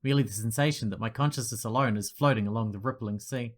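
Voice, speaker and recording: A man hosting a gaming video, reading a visual novel's text aloud with dramatic delivery and breaking off into quiet joking asides. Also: mid-range voice, fast talker. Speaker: gaming channel host. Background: loud game music.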